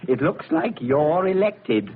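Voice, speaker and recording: An actor playing a sly, urbane captain in a 1940s radio thriller, with a sardonic, theatrical delivery. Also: male voice, on the high side, expressive, slow. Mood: mocking, assured. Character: sly, urbane captain, coolly turning the tables. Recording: noisy, tinny old-time radio broadcast.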